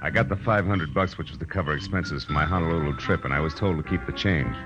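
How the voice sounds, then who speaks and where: A hard-boiled American detective tells his story in a deep, wry, clipped voice. He is world-weary and wisecracking, in classic 1940s radio style. The old recording is muffled, with music clearly running behind him. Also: fast. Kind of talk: 1940s radio detective acting, first-person narration.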